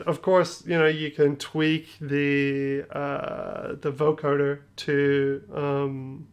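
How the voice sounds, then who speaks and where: A man's voice: a little high, smooth. A man hosting a music production tutorial, talking casually in a loose, joking, slangy way with trailing phrases. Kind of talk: casual screen-share tutorial talk.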